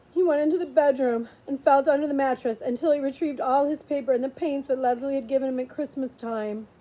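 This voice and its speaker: Female story narrator, high mellow voice, dramatic and emotive delivery, lingering pauses. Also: rough recording. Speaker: audiobook reader, librarian.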